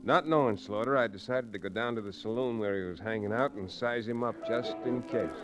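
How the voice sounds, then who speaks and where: An actor playing a folksy, firm frontier lawyer hero in an old-time radio western. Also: male voice, mid-range voice, brisk, a bit bright, soft background music, natural voice, poor audio. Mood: wry narrating. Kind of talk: old-time radio western acting, first-person narration and dialogue. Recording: vintage radio drama recording.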